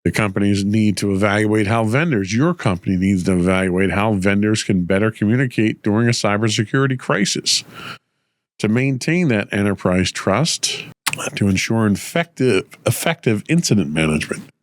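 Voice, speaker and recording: Low-voiced man, slightly hoarse from a cold. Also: normal speed, dry recording. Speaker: host of a tech news podcast. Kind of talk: news commentary, partly read from script.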